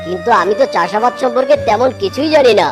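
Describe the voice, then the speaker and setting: A woman's voice: a little high, lively intonation, fast, lightly acted. A woman voicing a gullible, blustery but friendly cartoon tiger uncle, speaking emphatically with repeated exclamations. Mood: friendly, admiring. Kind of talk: exaggerated children's cartoon acting. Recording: clear music behind the voice.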